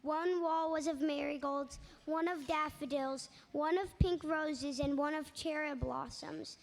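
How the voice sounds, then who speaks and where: A woman's voice: sits high, steady tone, unhurried, vocal fry. A woman reading a children's story aloud as narrator in reader's theatre, recorded in a reverberant hall. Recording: reverberant hall, faint background noise.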